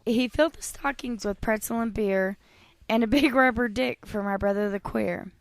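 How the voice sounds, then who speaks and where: A young woman with a Southern accent, hoarse and losing her voice, reading a bawdy poem and laughing through it. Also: on the high side, moderate pace. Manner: giggly, brash, laughs mid-line. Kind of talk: crude casual banter and bawdy poem reading.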